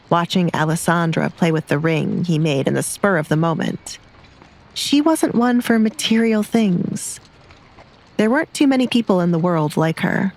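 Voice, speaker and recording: A woman's voice: fairly low pitch, rough recording. A woman narrator reading scripted romance fiction as a storyteller.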